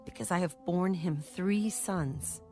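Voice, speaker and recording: A young wife and mother who feels unloved and turns devoutly to God. Her voice is low and yearning, in audio Bible drama style with faint music behind it. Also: slow pace, dark tone. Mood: hopeful yearning. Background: background music.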